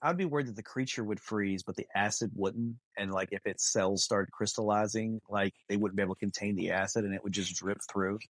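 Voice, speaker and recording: A man with a low voice chats as a podcast co-host. He speculates about a film in a casual, wry manner. The sound is dry and close. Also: fairly even intonation, a little fast, hardly any pauses, a bit bright, no echo.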